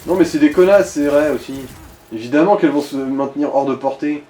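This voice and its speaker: A man's voice: on the high side, brisk, dark tone, slight background noise. A man chatting casually and crudely as he co-commentates a video game, full of slang and jokes. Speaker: let's play co-commentator. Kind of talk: casual gaming commentary chat. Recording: roomy, echoey sound.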